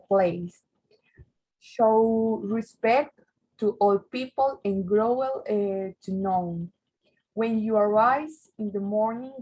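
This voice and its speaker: A woman student reading a text aloud haltingly, stumbling and repeating words. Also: mid-range voice, a little mellow, breathy edge, low-quality recording.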